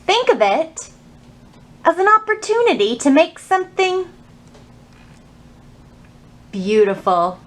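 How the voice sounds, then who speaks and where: A woman's voice: extremely high pitch, sing-song, slow pace, pauses often. A woman presenting a children's storytime, explaining things simply, asking young listeners questions and reading aloud.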